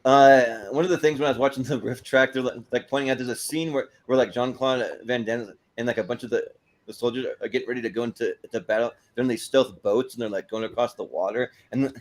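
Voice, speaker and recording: A man's voice: mid-range voice, steady tone, fast. A man hosting a casual panel chat about films, often chuckling as he talks. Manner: chuckles often while talking. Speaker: host of a film tournament panel show.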